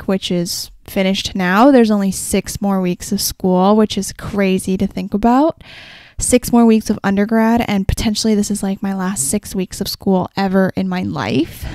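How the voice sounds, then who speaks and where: A young woman hosting her own podcast, chatting casually and rambling a little, her voice slightly raspy from a sore throat. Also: mid-range voice, a little mellow, no echo. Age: young adult. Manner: chatty and rambling, frequent "like" and "kinda". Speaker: podcast host. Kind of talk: casual solo podcast chat.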